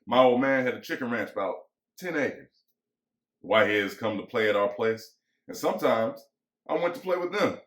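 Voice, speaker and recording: A man's voice: medium pitch, animated, moderate pace, a bit bright, lightly acted. A man acting as bitter, lonely American ranch hand, echoey. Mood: bitter, reflective. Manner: folksy rural vernacular, musing and pressing. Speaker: actor. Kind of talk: dramatic reading of a novel. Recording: echoey room.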